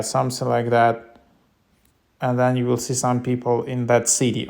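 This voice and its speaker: A man's voice: mid-range voice, creaky, darkish tone. A man presenting a casual, unscripted app tutorial, explaining things in a hesitant, loosely phrased way.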